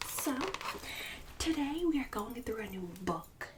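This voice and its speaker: A woman playing a snobbish, bossy book-club mom in an ASMR roleplay, mostly in a breathy whisper with fussy, passive-aggressive condescension. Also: fairly high pitch, expressive, measured pace, far from the mic, background noise. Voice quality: breathy whisper and soft speech.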